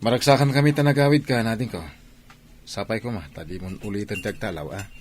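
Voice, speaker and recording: A man's voice: fairly low pitch, fast, close to an everyday voice. A man playing a practical, protective eldest brother in a radio drama, with an Ilocano Filipino accent. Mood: admonishing.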